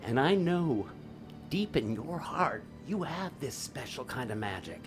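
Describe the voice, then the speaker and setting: An earnest magician father, played by a man in an audio drama, full of remorse and halting as he goes. He speaks slowly with wide, expressive swings in pitch, over faint music. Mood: tender, earnest.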